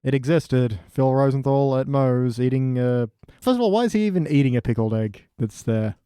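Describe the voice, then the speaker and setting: Mellow, laid-back man chatting. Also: mid-range voice, normal speed, clean tone, no echo, studio quality. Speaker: podcast guest reviewer. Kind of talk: casual review chat.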